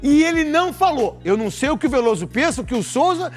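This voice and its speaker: Man, talk-show pundit, animated storytelling, very high voice, faint music underneath. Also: quick pace. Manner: retells the exchange, acting out both sides in quotes. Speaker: pundit in a played clip.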